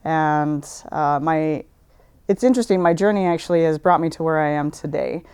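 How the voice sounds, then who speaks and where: A young woman who works as a sound technician in theater, answering interview questions in a candid, halting way. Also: deep voice, a little mellow, clear, resonant tone. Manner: candid, hesitant with fillers.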